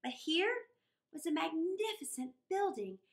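A middle-aged woman reading a children's story aloud with deliberate, dramatic phrasing. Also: very high voice, very animated. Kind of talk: expressive read-aloud storytelling. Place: small indoor room against a wall.

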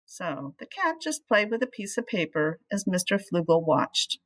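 A middle-aged woman reading a children's storybook aloud in an animated way, speaking to young listeners as a teacher would. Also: on the low side, moderate pace. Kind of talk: expressive children's storybook read-aloud with asides.

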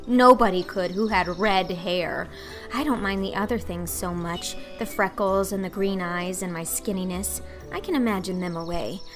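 A woman's voice: medium pitch, fairly bright tone, natural voice. Woman as an effusive, chattering little orphan girl. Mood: wistful, dramatic. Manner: effusive, earnest, theatrical. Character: talkative, dramatic, dreamy little orphan girl. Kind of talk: audiobook character acting.

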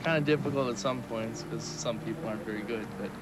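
A man's voice: mid-range voice, quick pace. A young man chatting casually outdoors in the woods, picked up by a camcorder. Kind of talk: casual chat.

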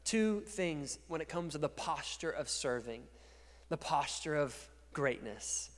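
A man in his thirties preaching a sermon as pastor on a church stage, speaking into a handheld mic and swinging from quiet to loud. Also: fairly high pitch, animated, measured pace. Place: large dark church stage. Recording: long reverb of a large church hall.

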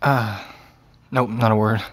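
A man's voice: fairly low pitch, steady tone, takes it slowly, no room sound. An understated American teenage boy. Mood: cautious, curious. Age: young adult. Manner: hesitant, understated. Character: awkward, curious seventeen-year-old boy with an after-school job. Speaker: actor in an audio drama. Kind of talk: naturalistic audio fiction acting.

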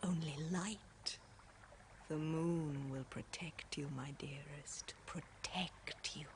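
A slow, sinister, whispering temptress. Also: female voice, low-pitched. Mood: hushed, hypnotic. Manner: slow, breathy, mocking, often whispering. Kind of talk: radio horror drama acting. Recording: noisy 1970s radio play tape.

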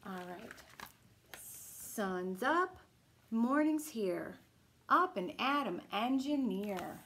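A middle-aged woman reading a picture book aloud as a children's storytime host, with a deliberate, singsong delivery. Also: on the high side, expressive, fairly bright tone, slight background noise. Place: small room at home, indoors. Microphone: camera a few feet away.

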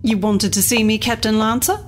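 Woman acting a high-voiced, blunt corporate executive. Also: fairly even intonation, brisk, faint music behind, lightly acted. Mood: guarded. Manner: crisp, direct, guarded. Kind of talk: audio drama acting.

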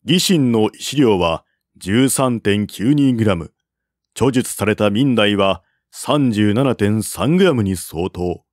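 Slow, measured male storyteller. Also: on the low side, lively intonation, darkish tone, dry recording, clean studio sound. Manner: measured, formal storytelling delivery. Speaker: narrator, audiobook-style reader. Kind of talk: scripted narration of a historical Chinese novel.

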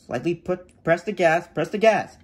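A woman's voice: lowish voice, animated, normal speed, noisy background, voice pushed down, natural voice. A woman voicing a bossy, impatient male cartoon car who instructs and scolds as a driving teacher. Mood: instructing.

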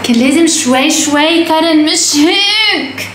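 Casting: young Lebanese woman vlogger, higher-pitched voice, casual and blunt, switches into English, home recording with noticeable background noise. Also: moderate pace, a bit bright. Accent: Lebanese Arabic. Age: young adult. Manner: mixes English phrases into Arabic; blunt, swears freely. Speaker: food vlogger. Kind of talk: casual chat to camera. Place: indoors at home, kitchen and living room.

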